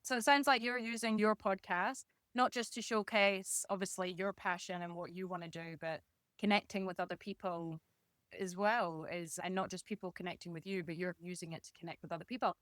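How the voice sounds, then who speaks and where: A woman's voice: lowish voice, steady tone, a little fast, a bit bright, no echo. A woman hosting a casual podcast interview in an encouraging, affirming manner. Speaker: podcast host.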